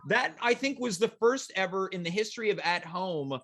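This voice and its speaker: A man's voice: high-pitched, lively intonation, moderate pace, a bit bright. A man hosting a live talk show, bantering casually with a guest in a teasing, mock-serious way.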